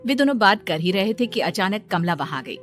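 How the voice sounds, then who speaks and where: A woman's voice: mid-range voice, very animated, fairly quick, soft background music. Woman narrating a cartoon story.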